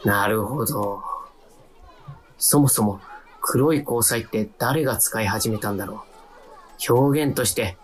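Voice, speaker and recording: A man's voice: lowish voice, a little slow, pauses often, slight background noise, lightly acted, low-quality recording. An actor playing a timid young man who narrates his own radio drama with wry, self-deprecating asides. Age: young adult. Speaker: narrator-protagonist. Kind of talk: radio drama inner monologue.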